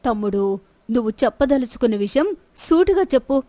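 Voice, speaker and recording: A woman's voice: on the high side, expressive, a little fast, mellow, dry recording, lightly acted. A woman voicing an imperious, indignant queen and protective elder sister in a story drama, stern and commanding. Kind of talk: scripted Telugu moral-story dramatization.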